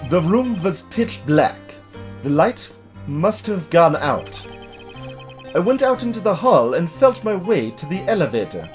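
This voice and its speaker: A vengeful old man of the law from a courtroom game, acted out by a reader. The delivery is theatrical and seething, slow and swooping in pitch, with faint music behind. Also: big pitch swings. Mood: grim, gloating. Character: vengeful, unhinged old male prosecutor villain confessing.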